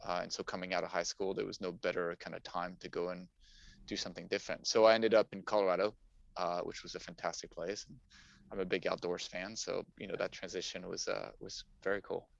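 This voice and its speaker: Low-voiced, creaky man from Paris. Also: level tone, brisk, dry recording. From Paris, France. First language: French. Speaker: podcast guest, finance professional. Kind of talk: conversational interview answers. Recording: dull, muffled lo-fi audio.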